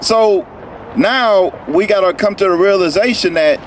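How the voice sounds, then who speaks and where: High-voiced man teaching on the street. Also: a little slow. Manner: questioning, tags lines with "right?". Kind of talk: outdoor street preaching. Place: outdoors on a city street. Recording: noisy street with traffic.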